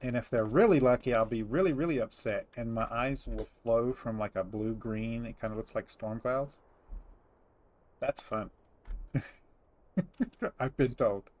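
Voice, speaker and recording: A man's voice: medium pitch, measured pace, long pauses, darkish tone, dry recording. A middle-aged man chatting casually over gameplay as a host, rambling and teasing as he goes. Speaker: gaming host.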